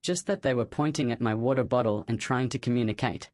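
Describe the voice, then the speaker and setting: A man's voice: medium pitch, a little fast, noisy background. A man reading aloud a first-person online story in a plain, matter-of-fact way. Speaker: narrator.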